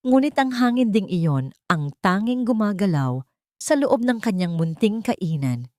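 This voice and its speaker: Low-voiced Filipino woman narrating a dramatic story. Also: a little mellow, dry recording, studio quality.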